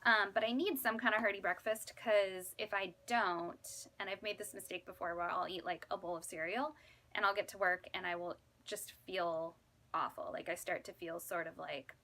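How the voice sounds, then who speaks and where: Bright-voiced woman vlogger in her thirties. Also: mid-range voice, fairly even intonation, normal speed. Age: 30s. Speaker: vlogger and fifth grade teacher. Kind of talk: casual chatty vlog to camera.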